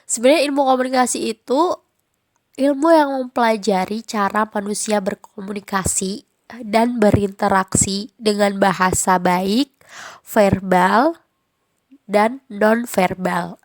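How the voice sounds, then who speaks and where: A woman's voice: mid-range voice, measured pace. Young Jakarta woman with a stuffy cold. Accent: Jakarta slang. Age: young adult. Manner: chatty, informal, frequent fillers. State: nasal from a cold. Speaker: podcast host. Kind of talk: casual solo podcast.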